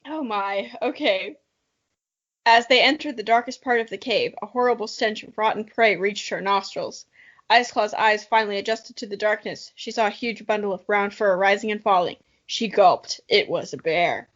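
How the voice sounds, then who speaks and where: A woman's voice: medium pitch, moderate pace, dull audio. A young adult woman co-hosting a podcast, playful and joking as she reads a childhood story aloud and banters casually. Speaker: podcast co-host.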